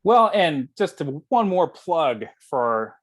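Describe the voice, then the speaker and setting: A man's voice: a little high, sing-song, slow. A man chatting casually and jokingly on a video call as a writer-director guest. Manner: informal, joking. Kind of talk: casual chat.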